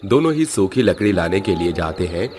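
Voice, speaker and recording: A man's voice: sits low, very animated, a little fast, darkish tone. A man reading scripted horror story narration as the narrator. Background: music.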